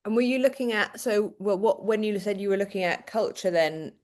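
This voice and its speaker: Fast-talking British-accented woman host. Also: mid-range voice, a little mellow. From Sweden. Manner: questioning, rephrasing her questions as she goes. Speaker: podcast host. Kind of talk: interview conversation.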